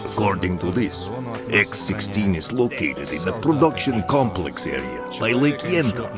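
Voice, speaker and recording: A shrewd game trader with a lowish voice and lively, swooping intonation. He briefs in a deliberate, businesslike way, with loud music playing behind him. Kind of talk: scripted video game dialogue.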